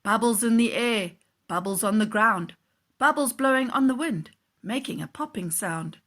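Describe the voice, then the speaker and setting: A woman teacher reading a children's story aloud in an expressive, deliberate storytelling way. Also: fairly high pitch.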